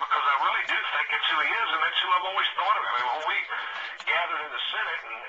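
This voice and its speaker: A man making emphatic off-the-cuff remarks as a former politician on a conference call, often repeating words as he goes. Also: fairly high pitch. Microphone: telephone conference call. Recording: muffled distant phone line, faint noise.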